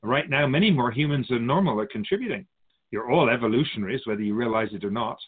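A man playing a wise, patient otherworldly keeper of souls in a radio drama, amused, reassuring and philosophical in measured phrases. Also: medium pitch, slightly rough, narrow, tinny audio, lightly acted. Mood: grave, explanatory. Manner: measured, philosophical, musing, addressing humanity as an outsider.

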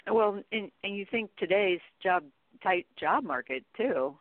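A woman's voice: medium pitch, animated, unhurried, dry recording. Woman, interview guest, informal emphatic talker, conversational, thin phone-quality audio. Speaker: guest expert on contact center training. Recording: narrow tinny phone-like line.

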